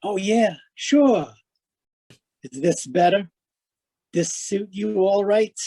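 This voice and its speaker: Slow, high-voiced menacing gangster. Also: male voice, animated, dark tone, husky, exaggerated voice. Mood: taunting, cocky. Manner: slow, taunting gangster slang, calls people pal. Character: bald male gunman, menacing, taunting ex-convict killer. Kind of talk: noir radio-play acting.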